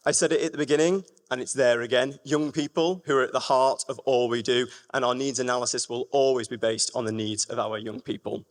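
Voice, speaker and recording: A man's voice: medium pitch, fairly even intonation, a little fast, few pauses. A man from gives a public presentation, addressing the room directly with emphatic phrasing. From Lancashire, England. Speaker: music education manager giving a talk. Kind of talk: public presentation to a live audience. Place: large reverberant room at a live event. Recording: echoey hall with long reverb.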